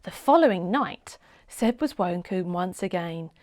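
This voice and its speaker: A woman reading a children's storybook aloud as an expressive read-along narrator, with dramatic emphasis. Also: fairly low pitch, unhurried.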